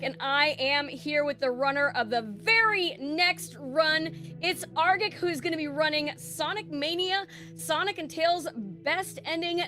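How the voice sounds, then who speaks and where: Bubbly woman interviewer, high voice. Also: bright, forceful tone. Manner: bubbly, encouraging. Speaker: interview host. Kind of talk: upbeat interview hosting.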